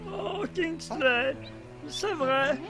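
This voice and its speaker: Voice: high-pitched, very slow, music in the background. A performer voicing a hapless little male animal in a French children's cartoon, apologetic, hesitant and stammering. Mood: flustered.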